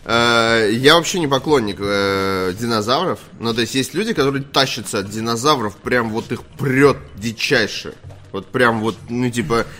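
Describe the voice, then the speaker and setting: A man's voice: mid-range voice, moderate pace, bright, forceful tone. A man hosting a games news stream, talking casually in a slangy, opinionated, rambling way. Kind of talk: casual live stream chat.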